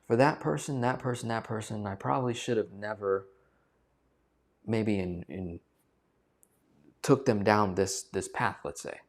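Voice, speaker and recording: Emphatic man, low-pitched talk. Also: a little slow, pauses often. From United States. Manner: emphatic, repeats phrases for stress. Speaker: finance coach and channel host. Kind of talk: direct-to-camera talk.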